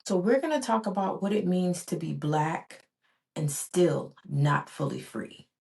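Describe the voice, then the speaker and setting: This is a woman in her forties, born in Barbados and raised in the US, hosting her own podcast. Her voice sits a little lower than most women's. She talks in an earnest, rhythmic way and stacks repeated phrases for emphasis. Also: unhurried, vocal fry, darkish tone. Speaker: podcast host. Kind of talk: reflective solo podcast monologue.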